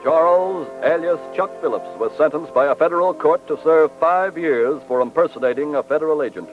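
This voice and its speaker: A man's voice: a little high, a little fast. Male narrator, grave and formal, vintage radio crime series, tinny narrow sound with faint music behind. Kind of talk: scripted 1940s radio narration. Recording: tinny, band-limited old radio recording.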